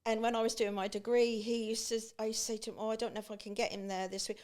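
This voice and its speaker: A woman's voice: a little high, little pitch movement, racing, darkish tone. A woman guest on a podcast, talking casually with many restarts and fillers. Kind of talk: casual conversation.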